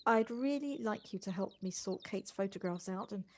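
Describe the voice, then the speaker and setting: A woman playing a gentle, wistful city dweller in an audio drama, speaking in a halting, hesitant way. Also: mid-range voice, slight background noise, close to an everyday voice. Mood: gentle, consoling. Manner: halting, hesitant, repeats words. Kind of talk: naturalistic audio drama acting.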